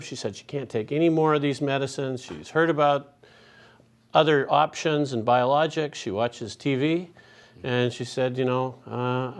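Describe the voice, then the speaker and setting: A man moderating a medical panel, walking through a patient case slowly with many fillers. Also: medium pitch.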